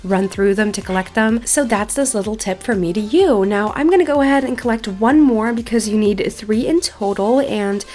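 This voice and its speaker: A woman's voice: medium pitch, moderate pace, hardly any pauses, darkish tone. A woman hosting a gaming channel, chatting enthusiastically as she narrates her playthrough in a casual style. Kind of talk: excited game playthrough narration with casual chat.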